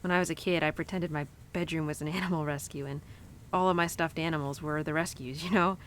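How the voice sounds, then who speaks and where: A woman's voice: deep voice, fairly even intonation, quick pace, slight background noise, lightly acted. A woman playing a young, animal-loving cowgirl who confides tenderly in her horse in an intimate, casual, rambling audio roleplay. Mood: dreamy, excited. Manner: casual, rambling, sighing and giggly.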